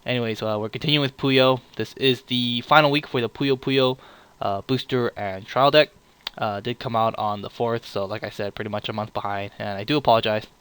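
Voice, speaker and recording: A man hosting a casual card game review, a little sick, talking in a relaxed and apologetic way with many filler "uh"s. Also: mid-range voice, brisk, smooth. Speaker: channel host reviewing card leaks.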